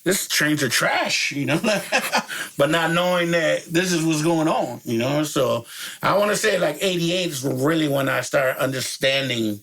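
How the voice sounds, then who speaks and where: A man's voice: a little high, lively intonation, a little slow, a bit bright. A middle-aged man from El Salvador, a DJ guest chatting casually in laid-back street slang, laughing often and saying "you know" a lot. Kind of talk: casual interview chat.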